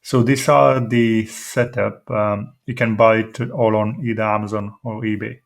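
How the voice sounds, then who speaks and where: Low, creaky, even-toned man giving a tutorial. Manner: halting, hesitant explaining. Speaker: tech tutorial presenter. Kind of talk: informal screen-demo tutorial.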